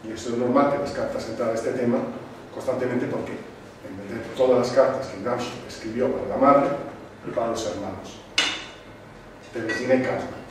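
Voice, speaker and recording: A man from Sardinia, presenting a film to a cinema club audience, thoughtful and full of pauses and restarts. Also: medium pitch, fairly even intonation. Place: cinema club screening room. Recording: echoey room, distant mic, noisy.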